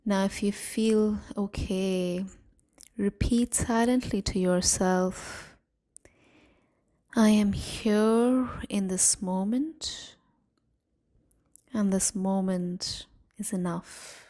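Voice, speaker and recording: A woman leading a guided meditation in a soothing, gentle manner, leaving long pauses between short phrases. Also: medium pitch, steady tone. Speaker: meditation guide and series host.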